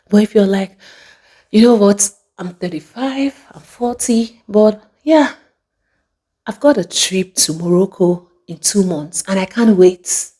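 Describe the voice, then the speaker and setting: A woman's voice: medium pitch, dark tone. A woman hosting a motivational monologue to viewers, speaking emphatically and repeating phrases for effect. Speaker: video host.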